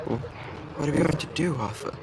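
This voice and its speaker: A man's voice: on the high side, fairly quick, background noise, lightly acted. A man voicing a frightened, trusting young brother who asks hesitant, stammering questions in a video game cutscene. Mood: anxious.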